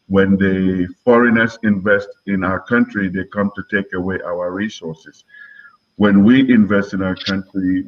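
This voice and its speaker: A man in his sixties with a Nigerian accent, from Lagos, giving measured answers as an interview guest on a muffled recording. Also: low-pitched. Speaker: interview guest, politician and broadcaster.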